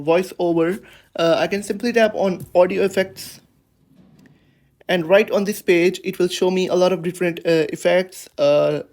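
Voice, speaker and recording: A man with a somewhat high-pitched voice hosts a how-to tutorial. He narrates each step casually, in an unscripted and slightly hesitant way. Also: darkish tone. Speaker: tutorial host.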